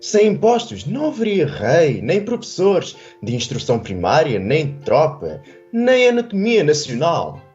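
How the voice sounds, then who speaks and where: Pedantic village schoolmaster: very lively intonation, oratorical grievance, radio drama, muffled recording with guitar behind. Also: male voice, fairly high pitch, a little slow. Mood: pedantic, lecturing. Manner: oratorical, indignant. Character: pedantic, aggrieved village schoolmaster arguing politics. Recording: dull lo-fi audio over plucked guitar.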